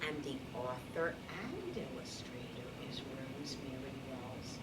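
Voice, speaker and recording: Distant, low-pitched woman teacher in noisy room. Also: expressive, unhurried. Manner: encouraging, instructive, praising the children. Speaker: teacher reading aloud to young children. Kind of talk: picture-book read-aloud with classroom talk. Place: classroom. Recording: distant mic, very noisy classroom.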